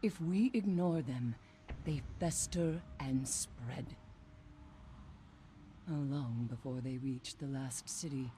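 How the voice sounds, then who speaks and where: An actress playing a grave, haunted warrior-scholar in a video game cutscene, deliberate, foreboding and resolute. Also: female voice, rumbling low, dark tone, slight background noise. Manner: grave, deliberate, dropping toward whispers.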